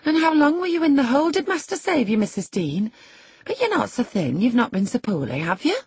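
A woman narrator plays a gossiping country housekeeper from a classic novel, brisk and familiar. Her voice is pitched up and very husky, tumbling along in exclamatory, rustic phrases. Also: very animated, darkish tone, no echo, exaggerated voice. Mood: surprised, gossiping. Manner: rustic dialect turns, exclamatory, rambling.

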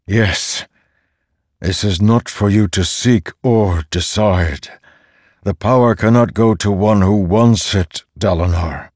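A man's voice: lowish voice, slow pace, a little mellow, no echo, clean studio sound, voice pushed up. Man voicing a thundering, domineering storm spirit. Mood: stern refusal. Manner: stern, imperious, accusatory. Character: thundering, ancient, godlike storm spirit, male, domineering and disapproving. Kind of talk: dramatic fantasy audiobook character.

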